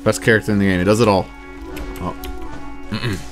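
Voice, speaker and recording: Male game streamer, lower register, casual sweary self-talk, light game music underneath. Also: steady tone, a little fast. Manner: swears freely, muttering to himself. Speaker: let's play streamer. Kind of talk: casual gameplay commentary. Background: video game music and sounds.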